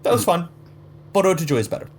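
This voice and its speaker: A man's voice: high-pitched, very animated, fast talker. A man improvising casual comedy banter as a podcast performer.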